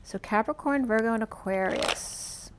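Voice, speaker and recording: A woman reads tarot cards in a relaxed, rambling monologue. Her voice is wearing thin from overuse, and she talks through it with plenty of "um"s and loose asides. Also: on the high side, a little slow, slight background noise, rough recording. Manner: chatty, meandering, filler-heavy. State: losing her voice. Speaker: tarot reader. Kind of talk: casual tarot reading monologue.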